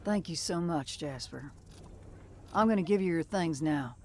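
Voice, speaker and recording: A woman's voice: low-pitched, lively intonation, unhurried, full character voice. An actress playing a tough, plainspoken American female bounty hunter in a video game. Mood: friendly. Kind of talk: video game cutscene acting.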